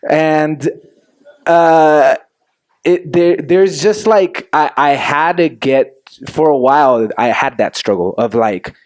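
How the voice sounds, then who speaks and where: A young man from Chile who grew up in California, telling his story on a panel in a casual, animated, self-deprecating way. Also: a little high, takes it slowly, dry recording.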